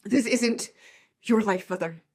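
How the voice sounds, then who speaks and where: An actress playing a wronged, determined young heiress in an audio drama, speaking with emotional emphasis. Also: female voice, medium pitch, a little slow, darkish tone, slightly rough. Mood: firm, defiant.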